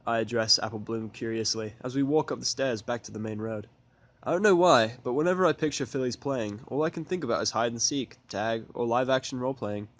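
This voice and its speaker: A man's voice: medium pitch, a little fast. A man reading a first-person story as an amateur audiobook narrator, in a casual, sardonic style.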